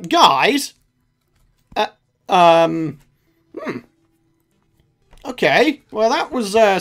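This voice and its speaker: A man's voice: high-pitched, smooth. A man with a sore throat commenting casually on his own gameplay, chatty and self-mocking, muttering asides to the game. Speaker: gaming channel host. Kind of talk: casual let's play commentary.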